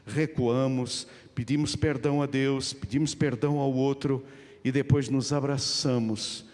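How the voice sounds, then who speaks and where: A man's voice: medium pitch, steady tone, unhurried, a little mellow. A middle-aged man with a European Portuguese accent preaching an evangelical sermon as a pastor, addressing his listeners directly with emphatic repetition. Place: large church hall, live service. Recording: long hall reverb of a church.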